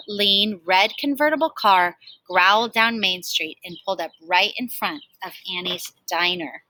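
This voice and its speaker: A woman teacher reading a picture book aloud to children in an expressive storytelling style. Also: medium pitch, rough recording.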